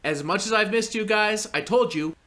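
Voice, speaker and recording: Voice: medium pitch, moderate pace, a bit bright, close to an everyday voice. A performer playing the no-nonsense leader of a radio troupe who keeps the others on track. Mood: impatient. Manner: curt, keeps steering others back on track. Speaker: bossy leader of the radio crew.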